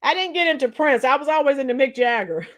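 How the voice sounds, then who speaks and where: A middle-aged woman with a hoarse, tired voice chatting casually with livestream viewers, reading out comments and singing bits of songs. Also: high-pitched, animated, fast, fairly bright tone, lo-fi sound. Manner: chatty, reads out comments and sings along to songs. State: hoarse, losing her voice. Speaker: livestream host.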